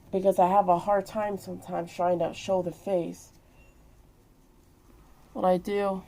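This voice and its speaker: A woman in her twenties with a medium-pitched voice presents items in a casual vlog. She explains each thing in an informal, rambling way, as if demonstrating it by hand. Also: dark tone. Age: 20s. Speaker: vlogger showing purchases. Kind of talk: casual show-and-tell vlog.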